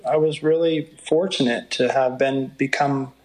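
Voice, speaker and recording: A middle-aged man with a low voice, talking through stories in an interview and sometimes quoting others in a livelier tone. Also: fairly even intonation, poor audio. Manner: unhurried, storytelling, quotes others in a livelier voice. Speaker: motocross broadcaster. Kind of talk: reflective interview talk.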